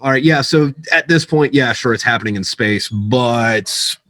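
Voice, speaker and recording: A man's voice: medium pitch, moderate pace, fairly bright tone, no echo, studio quality. An American man, a military veteran and guest author, telling stories in casual, profane, slangy podcast chat with plenty of filler. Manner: salty, frequent profanity, slangy filler-heavy delivery.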